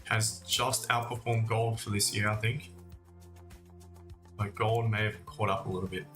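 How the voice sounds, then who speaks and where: A man with a fairly low, bright voice hosts a casual livestream, giving chatty commentary straight to his audience. The room adds noticeable echo around his words. He speaks to listeners informally, like mates. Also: steady tone, measured pace. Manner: conversational, addressing viewers as mate and guys. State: recovering from cough and lost voice. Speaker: host of a live trading stream. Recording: roomy echo, faint music.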